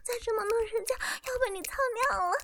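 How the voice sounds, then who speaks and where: Casting: actress as a very high, lilting, cutesy young wife, breathy and teasing, over background hiss. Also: female voice, fairly quick, full character voice. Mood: breathless pleading. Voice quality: breathy, whispery. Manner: cutesy, refers to herself as "人家". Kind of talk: intimate audio roleplay. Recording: steady background noise.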